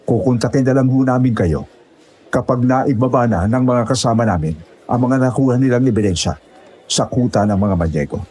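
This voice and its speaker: A man's voice: medium pitch, mellow, slightly rough, natural voice. A man playing a courteous, official police officer in an audio horror drama. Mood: reassuring, polite. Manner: courteous, formal. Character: polite, official male police officer taking a report.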